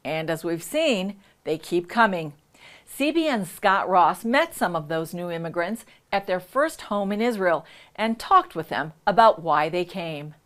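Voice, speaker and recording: A woman presenting a television news program in a lively, scripted way. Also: on the low side, unhurried. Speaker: news anchor.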